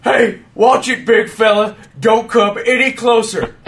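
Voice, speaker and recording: Very high-pitched obnoxious male loudmouth. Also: a little slow, a little hiss or hum. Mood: mocking, indignant. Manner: jeering, insulting. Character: obnoxious, whiny, mocking loudmouth man. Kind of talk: dramatic audio drama acting.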